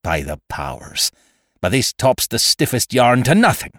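A man acts out a sly, menacing pirate sea cook from an adventure storybook. His rustic sailor talk swings dramatically in pitch and takes its time, full of contempt and command. The sound is close and dry. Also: wildly swooping pitch, a little slow, fairly bright tone, no echo, exaggerated voice. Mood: indignant, vehement. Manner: rustic old-time sailor speech with theatrical emphasis. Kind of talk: dramatised audiobook reading of a classic adventure story.

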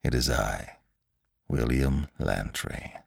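A man's voice: extremely low pitch, a little slow, gravelly, slightly rough, no echo, heavily acted. A man voicing a wary, secretly menacing man in a story, with a dry, terse, guarded delivery.

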